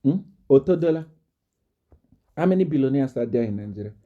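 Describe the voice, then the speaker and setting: A man from Zambia preaching a fiery live-stream address, repeating himself and rising to shouts and laughter. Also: mid-range voice, lively intonation, fairly quick, narrow, tinny audio.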